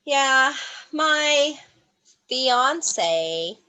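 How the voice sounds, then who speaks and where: A woman's voice: sits high, expressive, drawn-out words, pushed and bright, exaggerated voice. A woman playing a spoiled, bored young heiress in a comedic play, dismissive and flippant, with a drawling delivery. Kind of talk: comedic acting in an online play.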